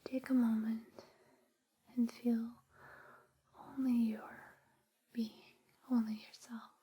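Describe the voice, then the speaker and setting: A woman whispering softly and breathily in a soothing, reassuring ASMR personal-attention roleplay, close to a binaural microphone. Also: a little high, little pitch movement, slow, slightly rough. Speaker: ASMR performer playing a space flight attendant guiding a sleep journey. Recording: close binaural with background hiss and clicks.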